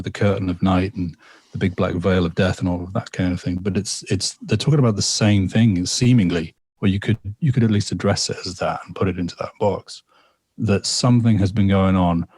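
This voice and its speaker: A man's voice: low-pitched, darkish tone, breathy edge, no room sound. A man from England, a guest storyteller talking casually on a podcast, rambling and reflective with many repetitions and fillers. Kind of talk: casual podcast conversation, telling a personal story.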